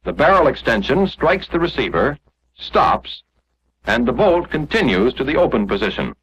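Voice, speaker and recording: A dull, muffled vintage film soundtrack carries a male narrator from the 1940s. His voice is a little husky and moves with lively, emphatic pitch. He explains mechanics step by step, reading from a script like an instructor. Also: normal speed. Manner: didactic, clearly explanatory, step by step.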